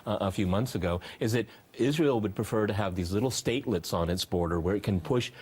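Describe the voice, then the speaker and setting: Low, husky male talk show host. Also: fast talker, darkish tone. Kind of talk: opinionated panel discussion moderating.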